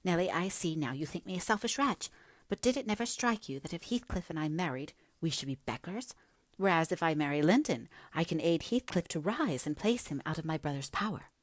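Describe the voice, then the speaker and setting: A woman voicing a passionate, headstrong young gentlewoman in a dramatic, emphatic audiobook reading, breathy at times. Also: low voice, expressive, brisk, no echo, pitched above the performer's own voice. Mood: indignant, defiant.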